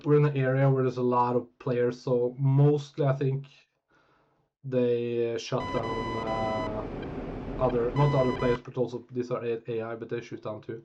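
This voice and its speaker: A man from Norway with a Norwegian accent, English not his first language, thinking aloud in casual gameplay commentary with halting restarts and fillers. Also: medium pitch, level tone, over music. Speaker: gaming YouTuber. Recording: dull lo-fi audio over loud jet game noise. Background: loud flight-sim engine noise.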